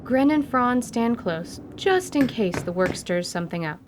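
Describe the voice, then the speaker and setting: A woman's voice: on the high side, animated, unhurried, background noise. Woman narrating a fantasy radio drama.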